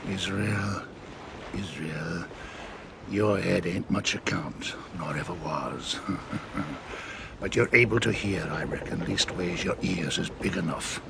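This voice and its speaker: Deep, gravelly, scheming pirate ringleader. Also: male voice, slow, heavily acted. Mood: stern, scheming. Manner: old seafaring dialect, wheedling and threatening by turns. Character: cunning, menacing pirate ringleader, falsely genteel. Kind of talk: audio drama acting. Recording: steady clear background noise.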